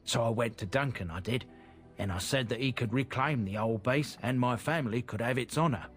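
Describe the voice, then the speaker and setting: An actor playing a chatty, earnest merchant from a proud but disgraced family in a fantasy video game. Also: male voice, medium pitch, fairly quick, close to an everyday voice. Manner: folksy, chatty, inverted phrasing. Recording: game audio with clear music behind. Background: game music.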